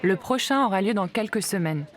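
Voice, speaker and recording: A woman narrating a documentary in a calm, even, scripted way. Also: mid-range voice, a little fast, some chatter behind.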